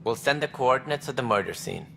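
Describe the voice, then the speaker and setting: An actor playing a stern, proud alien administrator in a video game, speaking in formal, measured, clipped phrases. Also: male voice, mid-range voice, lively intonation, vocal fry, bright, forceful tone, slight background noise. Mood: guarded. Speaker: alien colony director.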